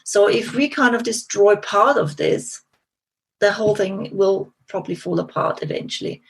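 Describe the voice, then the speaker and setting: A middle-aged woman from Austria with an Austrian German accent, a guest telling her story in a relaxed interview with many small restarts and fillers. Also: medium pitch, normal speed, creaky, husky. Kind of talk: interview conversation.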